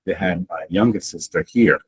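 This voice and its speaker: A Honduran-born man in his sixties whose first language is Garifuna, telling his life story in an interview in a relaxed, rambling way with many fillers and restarts. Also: low voice, normal speed, a little hiss or hum. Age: 60s. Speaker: guest.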